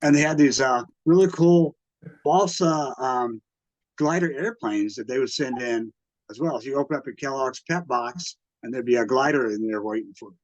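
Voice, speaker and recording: An American man in his sixties reminiscing casually in an interview, with a halting delivery that often backtracks. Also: mid-range voice, no echo, low-quality recording. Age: 60s. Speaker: radio collector and museum exhibitor, interviewee.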